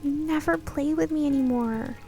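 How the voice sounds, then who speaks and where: A woman plays a little ghost boy in an audio drama. The voice is high, childlike and plaintive, pleading for attention over background music. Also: normal speed, warm tone.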